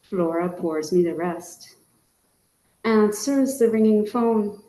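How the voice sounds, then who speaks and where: A woman from Trinidad reading her poems aloud in a measured way, her line endings often dropping soft. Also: mid-range voice. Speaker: featured poet. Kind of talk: poetry reading. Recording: echoey room.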